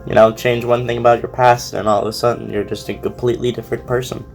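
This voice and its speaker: A man giving casual, unscripted commentary as a host, speaking in a halting, rambling way with many repeated words. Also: mid-range voice, little pitch movement, creaky, faint music behind. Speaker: host reacting to a fan fiction audio reading.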